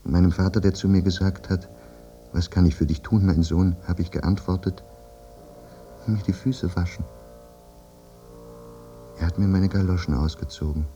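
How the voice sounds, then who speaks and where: An actor playing a maimed, anguished soldier, speaking a brooding, plaintive and macabrely frank monologue in a radio drama. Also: male voice, low voice, fairly even intonation, normal speed, long pauses, mellow, lightly acted. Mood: wistful, sorrowful. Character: maimed, anguished male war soldier confessing his fate. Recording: muffled radio drama recording, music behind.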